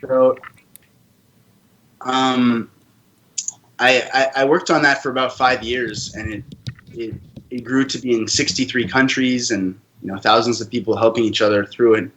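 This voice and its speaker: A man's voice: mid-range voice, flat delivery, unhurried, pauses often, fairly bright tone, slight background noise, poor audio. A man who grew up in Canada, a podcast guest telling his own story in an earnest, open way. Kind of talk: casual interview talk.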